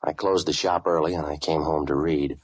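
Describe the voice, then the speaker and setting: Very deep, husky hard-boiled radio detective. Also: male voice, a little fast. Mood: deadpan, wry. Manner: deadpan, wisecracking, world-weary. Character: cynical, tough male waterfront boat renter for hire. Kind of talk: 1940s hard-boiled radio detective acting, first-person narration.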